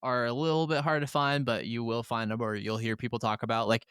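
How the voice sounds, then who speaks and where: A man's voice: mid-range voice, little pitch movement, a little fast, a bit bright, smooth, no echo, clean studio sound. A man speaking as a podcast co-host, chatting casually and colloquially about video games, swearing now and then.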